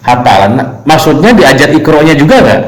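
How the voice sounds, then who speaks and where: A man asking casual interview questions in an informal, halting way, rephrasing as he goes. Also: on the high side, sing-song. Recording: echoey room.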